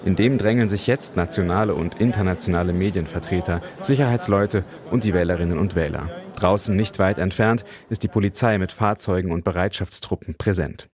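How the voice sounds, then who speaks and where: A man filing a scripted radio news report as a correspondent, measured and matter-of-fact. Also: deep voice, darkish tone.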